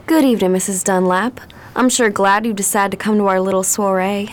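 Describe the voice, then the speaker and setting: An actress playing a genteel, chatty Southern belle in a radio play, with a Southern American accent. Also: female voice, medium pitch, fairly even intonation, fairly quick, a little hiss or hum, close to an everyday voice. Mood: gracious.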